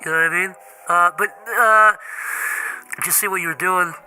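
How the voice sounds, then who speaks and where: Man playing a whiny, high-voiced, stammering Jesus. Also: fairly even intonation, moderate pace, bright, forceful tone, soft background music, poor audio. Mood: awkward, hurt. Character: needy, passive-aggressive, petty Jesus leaving voicemails. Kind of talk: improvised comedy voicemail skit.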